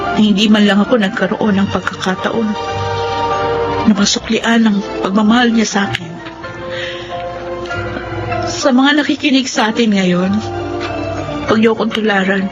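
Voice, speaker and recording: A woman's voice: medium pitch, dull audio, lightly acted. An actress with a Filipino accent playing a remorseful daughter telling her life story in an earnest, reflective way for radio drama. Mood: earnest, sorrowful. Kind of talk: Filipino radio drama first-person narration.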